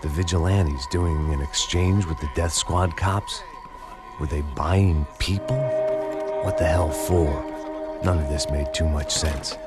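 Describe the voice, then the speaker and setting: An American man playing a weary, cynical middle-aged ex-cop antihero, narrating in a deadpan, hard-boiled noir style for a video game. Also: a bass voice, measured pace. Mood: brooding, suspicious. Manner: deadpan, sardonic, world-weary. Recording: music playing behind the voice.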